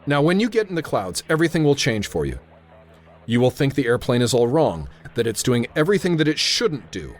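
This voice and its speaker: An actor playing a tense, authoritative airfield controller in a radio drama, narrating and giving orders with clipped urgency. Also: male voice, mid-range voice, big pitch swings, a little fast. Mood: stern, insistent. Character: tense, commanding male airfield tower controller narrating a crisis.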